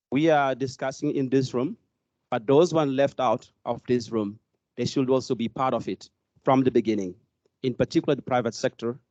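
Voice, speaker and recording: A man from Mozambique with a Portuguese accent, asking a question from the floor at a workshop in halting speech with many fillers. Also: mid-range voice, darkish tone, no echo, muffled recording. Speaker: workshop participant.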